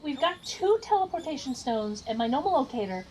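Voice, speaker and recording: Lively, wry gnome adventurer played by a woman. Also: high voice, a little fast, rough recording. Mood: wry, practical.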